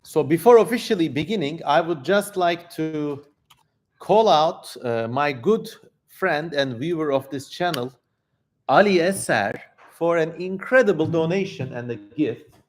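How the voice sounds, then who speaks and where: Male livestream host, somewhat high voice, casual and effusive, chatting straight to viewers. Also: lively intonation, unhurried, a little hiss or hum. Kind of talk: casual livestream chat, reading out viewer comments.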